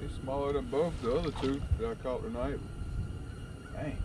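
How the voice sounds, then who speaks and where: A man's voice: medium pitch, steady tone, normal speed. A tired man with a drawl, talking in a folksy, teasing way to the camera and to the fish he catches while camping and fishing. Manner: drawling, folksy, talks to the fish and teases them. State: tired and sleepy. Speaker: fishing vlogger. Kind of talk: casual running talk to camera while fishing. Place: outdoors on a lake at night, camping. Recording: noisy outdoor lake recording. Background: lake and outdoor ambience.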